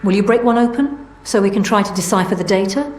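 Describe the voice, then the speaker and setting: An actress playing an earnest, inquisitive researcher who gives missions in a video game, explaining things in a measured way. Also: female voice, mid-range voice, natural voice. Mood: curious, earnest. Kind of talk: video game character dialogue. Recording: roomy, faint music behind.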